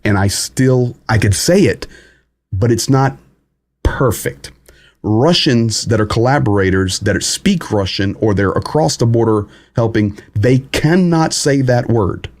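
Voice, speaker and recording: A man hosting a live war update stream, talking casually to viewers in halting, pause-filled phrases and answering chat comments. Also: mid-range voice, expressive, a little mellow. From United States. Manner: conversational, halting phrasing with many pauses and restarts; greets viewers and reads chat comments aloud.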